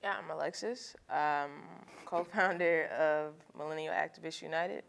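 A young woman giving a short, shy self-introduction on an activist panel, with soft laughs between phrases. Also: low-pitched, steady tone, a little slow, vocal fry. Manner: shy, giggly.

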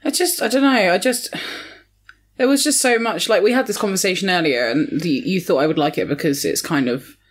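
A woman's voice: on the low side, brisk. A British woman in her twenties chatting casually and bluntly as a guest on a film review podcast.

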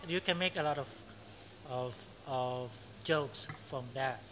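A man in his thirties with a Thai accent, talking slowly and searching for words in a casual interview in a cafe. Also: mid-range voice, fairly bright tone. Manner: unhurried, searching for words, frequent fillers. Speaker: interviewee, English teacher. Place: cafe by large windows, indoors. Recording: noisy cafe ambience.

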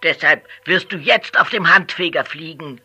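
A woman playing a scolding, crafty old witch mother in a children's audio play, with a raspy, cackling delivery and theatrical exclamations. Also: low voice, normal speed, bright, forceful tone, full character voice. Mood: bossy.

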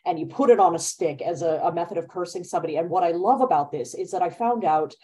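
A young adult woman talking on a video call from her bedroom, lively and quick to laugh as she leads the discussion. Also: on the low side, slightly rough. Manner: lively, laughing, speeds up when animated. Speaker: author and event moderator. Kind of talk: casual conversation on a video call. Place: bedroom at home. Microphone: laptop webcam mic on video call.

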